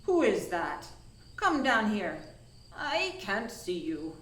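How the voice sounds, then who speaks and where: A tired, bossy wolf leader from a children's story, as a woman reader puts him on. He speaks with slow, emphatic authority. The sound is roomy with faint background noise. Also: medium pitch, big pitch swings, a bit bright, recorded from a distance. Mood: commanding. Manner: emphatic, commanding. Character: weary, forceful male wolf, leader of animals.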